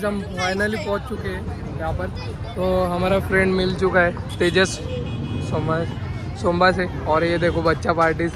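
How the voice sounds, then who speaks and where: A young man with a slightly hoarse voice narrating a casual motovlog to his viewers, chatty and friendly, calling them guys and brother. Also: high-pitched, flat delivery, normal speed, crowd noise. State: hoarse from dust. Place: outdoors on busy city streets with traffic. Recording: noisy outdoor street recording. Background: traffic and motorcycle engine noise.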